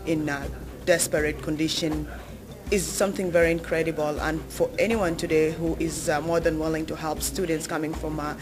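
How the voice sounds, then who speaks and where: Earnest man, slightly high, flat monotone, emphatic repetition. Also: normal speed, crowd noise. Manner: earnest, emphatic, repeating phrases. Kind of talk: interview testimonial.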